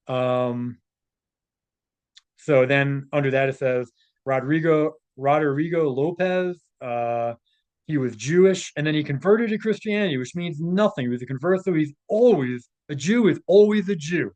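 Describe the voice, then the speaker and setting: A man with a fairly high-pitched voice talks in a casual, unscripted way as he works through a written text. He breaks from the reading for asides, and his manner is scornful and sarcastic. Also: moderate pace, darkish tone. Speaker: commentator, presenter. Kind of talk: casual spoken commentary while reading aloud from a text.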